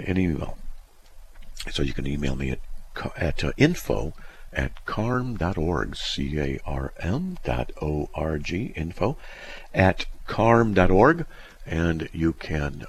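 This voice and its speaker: A man's voice: very deep voice, creaky, slightly rough. A middle-aged man hosting a talk radio show, rambling conversationally with many "uh" fillers into a studio mic. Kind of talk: solo talk radio monologue.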